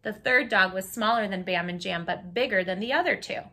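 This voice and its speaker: A woman's voice: mid-range voice, brisk, sharp tone. A woman hosting a children's read-aloud, narrating a storybook expressively and putting questions to her young listeners.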